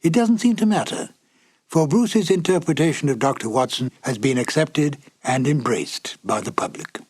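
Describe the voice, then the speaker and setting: A man giving measured, formal scripted narration as a presenter of vintage radio. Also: a little high, darkish tone, husky.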